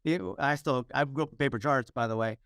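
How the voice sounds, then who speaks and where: A man's voice: mid-range voice, fast talker, a bit bright, no room sound, clean studio sound. A middle-aged American man telling his story as an interview guest, earnest, with frequent restarts and "you know" fillers. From United States. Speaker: guest, hospital digital executive.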